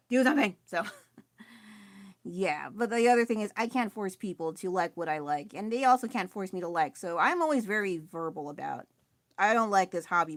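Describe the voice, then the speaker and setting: A woman with a lowish, loud voice rambling casually as she paints live from home. She is self-deprecating and laughs often. The sound is close and dry, with no room echo. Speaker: artist livestreaming her painting. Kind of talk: casual live-stream chat while working. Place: at home with family nearby.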